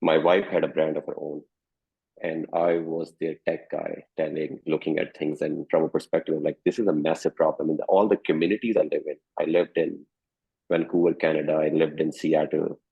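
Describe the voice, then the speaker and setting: Very deep gravelly man on a lo-fi line. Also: flat delivery, fairly quick. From Pakistan. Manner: thoughtful, reflective storytelling. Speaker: startup founder on a panel. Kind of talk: panel discussion. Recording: phone-like lo-fi audio.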